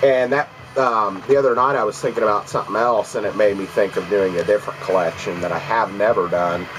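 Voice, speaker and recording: Male vlogger, slightly low voice, congested, loose and rambling delivery, home recording with steady background hum. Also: brisk. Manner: rambling, many ums and restarts. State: congested from allergies. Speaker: video game collecting vlogger. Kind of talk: casual rambling vlog.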